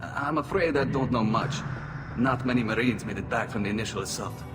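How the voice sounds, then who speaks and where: A man plays a junior alien soldier in a video game, polite and a little awkward. His mid-pitched delivery is hesitant and deferential, set in long reverb with music behind. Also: fairly quick, natural voice. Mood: uneasy, helpful.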